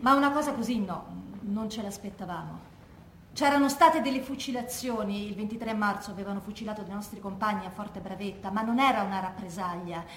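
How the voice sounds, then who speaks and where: A woman's voice: medium pitch, a little fast, close to an everyday voice. An actress playing a wry, matter-of-fact wartime partisan woman giving a dry, emphatic stage testimony. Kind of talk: theatrical testimony monologue. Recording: roomy echo, faint background noise.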